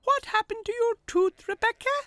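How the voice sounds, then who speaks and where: A high, squeaky little farm machine chatters eagerly. He is small and helpful, and every line bounces with keenness. The pitch sits far above an ordinary speaking voice. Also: normal speed, pushed and bright, no echo, full character voice.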